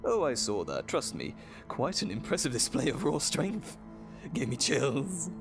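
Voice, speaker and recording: An actor playing a sadistic, gleeful evil doctor in an audio drama, condescending and mock-tender, with theatrical, drawn-out phrasing. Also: male voice, mid-range voice, very animated, gravelly, over music. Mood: amused menace.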